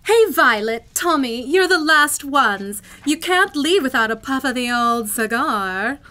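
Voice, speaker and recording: High, bright, jovial studio colleague. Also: female voice, lively intonation, measured pace, full character voice. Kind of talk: live old-time radio drama acting. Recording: live stage recording with faint noise.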